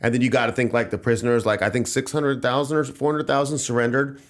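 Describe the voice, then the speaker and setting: Fast-talking podcast host man. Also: mid-range voice, fairly even intonation. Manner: rapid, emphatic, repeats rhetorical asides. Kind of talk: casual chat.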